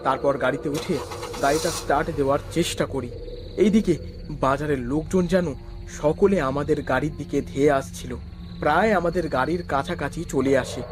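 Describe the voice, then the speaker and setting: Higher-pitched young man, horror cartoon actor, music behind. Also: fairly quick, close to an everyday voice. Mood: suspenseful. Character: young man, wary, curious horror-story hero and narrator. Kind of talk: dramatic first-person horror cartoon narration and dialogue.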